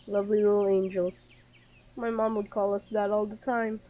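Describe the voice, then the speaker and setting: A woman's voice: medium pitch, little pitch movement, moderate pace, dark tone, slight background noise. A woman streaming a game with casual commentary, reading text aloud and dropping wry, informal asides. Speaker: gaming streamer.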